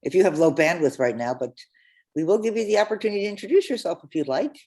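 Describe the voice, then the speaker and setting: A woman hosting an online question-and-answer session over a video call, speaking in halting, stop-start phrases. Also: on the low side, expressive, a little mellow. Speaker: host.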